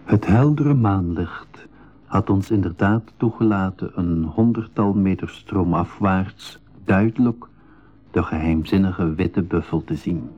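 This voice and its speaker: An apprehensive safari member in a radio play. His voice is low and husky. He tells the story in a literary, scripted way. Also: measured pace, mellow, dull audio. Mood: measured narrating. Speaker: narrator and member of the expedition. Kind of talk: scripted radio drama narration.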